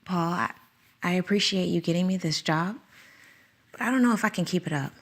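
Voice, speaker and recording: A woman's voice: lowish voice, a little fast. An actress playing a conflicted woman teacher who spies for someone, narrating in first person in a radio drama with an anxious, reflective manner. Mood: worried. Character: conflicted woman teacher, a circus school spy. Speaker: narrator and lead character.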